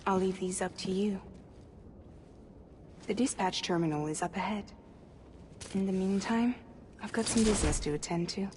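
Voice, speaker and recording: An actress playing a brisk, playful young woman courier in a video game, with a casual, clipped way of talking. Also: medium pitch, lightly acted. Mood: cool, breezy. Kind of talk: video game cutscene acting. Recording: clear background noise.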